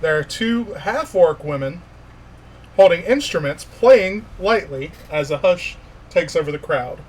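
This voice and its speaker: Higher-voiced man narrating a fantasy game. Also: expressive, unhurried, breathy edge. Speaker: game master.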